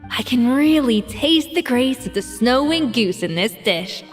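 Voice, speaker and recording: A woman's voice: fairly high pitch, animated, a little slow, faint music behind. A woman voicing an exacting, haughty master chef in an anime video game, with a cutting, formal manner. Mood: measured approval.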